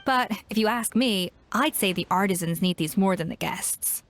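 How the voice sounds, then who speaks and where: A woman's voice: mid-range voice, normal speed, slight background noise, natural voice. A woman playing a proud, exacting young celebrity chef in a video game, confident and precise in her delivery. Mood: assured, explanatory. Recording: music behind.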